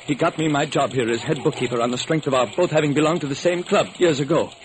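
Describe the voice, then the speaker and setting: A man's voice: a little high, quick pace, a little hiss or hum, lightly acted. An actor playing a shaken, earnest male head bookkeeper, eager to help, in a classic radio crime drama.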